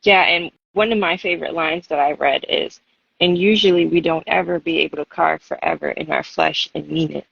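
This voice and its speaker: Female poet, raspy and fry-tinged, measured and expressive, on muffled audio. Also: low-pitched, dry recording. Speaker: poet and guest reader. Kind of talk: spoken-word poetry reading and casual chat. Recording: dull, lo-fi audio.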